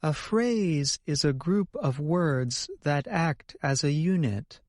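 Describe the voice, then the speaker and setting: A man's voice: on the high side, animated, takes it slowly, darkish tone, no echo, studio quality. A man co-hosting an educational podcast, reading scripted explanations in a careful, explanatory manner. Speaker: co-host of an English lesson podcast.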